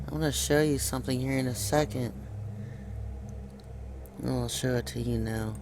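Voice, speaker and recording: A man's voice: mid-range voice, steady tone, moderate pace, noisy background. A man hosting an informal video commentary, insistently pointing things out to his viewers. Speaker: video host. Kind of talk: informal conspiracy commentary over pictures.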